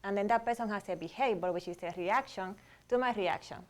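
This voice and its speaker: A woman's voice: medium pitch, a little fast. A woman from Cuba, a guest doctor explaining relationship behavior step by step on a talk show. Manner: steady, explanatory, lays ideas out step by step.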